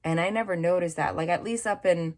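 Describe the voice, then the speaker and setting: Chatty low-voiced woman with a gravelly edge. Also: brisk. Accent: New York. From New York / New Jersey area. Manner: chatty, rambling, many restarts and asides. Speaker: tarot reader. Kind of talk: casual tarot reading chat. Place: indoors at a table, hands over cards.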